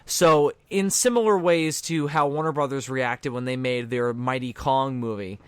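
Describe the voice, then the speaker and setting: A man's voice: medium pitch, normal speed, a bit bright, clean tone, dry recording. A man hosting a casual fan commentary on a cartoon, explaining things in a matter-of-fact way. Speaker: commentary host.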